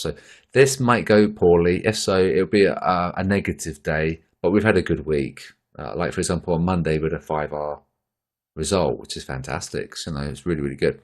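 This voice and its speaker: A tired man with a deep voice, hosting casual market commentary in a relaxed, rambling way with frequent fillers. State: tired after a long bike ride. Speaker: trading channel host.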